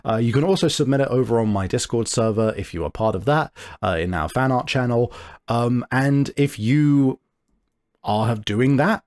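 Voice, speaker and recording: A man's voice: medium pitch, moderate pace, a little mellow. A man speaking casually and conversationally as the host and game master of a tabletop podcast. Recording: music playing behind the voice. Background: music.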